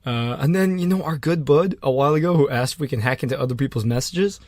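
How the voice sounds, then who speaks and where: A man's voice: medium pitch, fast talker, a little mellow, dry recording. A weary man tells long, joking stories as co-host of a chatty podcast. His voice sits in a middling register, recorded close and dry with no room around it. He wanders from one tangent to the next. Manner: rambling, jokey storytelling. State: tired. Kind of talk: casual banter. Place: small home room.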